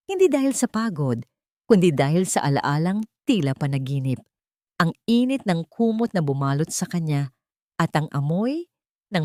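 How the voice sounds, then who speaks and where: A woman's voice: fairly low pitch, a little mellow, no room sound, studio quality. A woman narrating a scripted dramatic story as its narrator.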